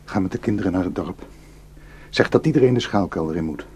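A man's voice: low-pitched, expressive, fast talker, a little mellow, husky. An actor in a radio drama playing a protective, anxious village shepherd and father, speaking in terse, urgent instructions. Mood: urgent, tender. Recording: noisy radio play recording.

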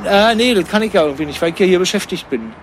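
A man with a Berlin accent in improvised radio comedy chat, hosting in a cheeky, excitable, probing manner. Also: high voice, racing, slight background noise. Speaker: radio host.

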